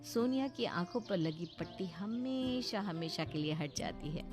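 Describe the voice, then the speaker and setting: A woman's voice: fairly low pitch, animated, measured pace, music in the background. A woman giving a scripted narration of a moral story.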